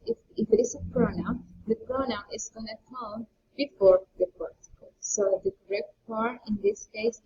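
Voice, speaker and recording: A woman's voice: on the high side, mellow, a little hiss or hum. A young woman teaching an English grammar lesson, explaining and repeating key points on a muffled webcam recording from a distance. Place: indoor room, screencast with webcam.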